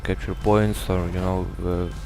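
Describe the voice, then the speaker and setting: A man with a Russian accent, native Russian speaker, giving a hesitant, halting game guide commentary full of filler sounds. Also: sits low, flat delivery, music in the background. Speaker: gamer giving a build guide.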